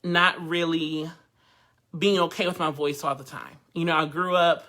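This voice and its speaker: Young male voice teacher, high bright nasal twang, chatty and candid. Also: fairly even intonation, moderate pace. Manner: chatty and candid, addresses the group directly. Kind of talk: live online vocal workshop. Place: living room at home.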